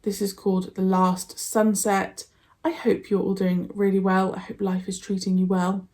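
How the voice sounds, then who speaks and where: A woman hosting a reaction channel, talking casually in a croaky voice. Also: medium pitch, little pitch movement, moderate pace, a little mellow. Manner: chatty and rambling.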